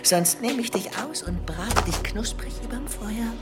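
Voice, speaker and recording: A man's voice: extremely high pitch, expressive, loud music behind the voice. An actor in a German audio drama playing a deranged, murderous man who hears voices, taunting and slipping into whispered menace. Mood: mad, threatening. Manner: mocking, whispering menace, drawn-out words.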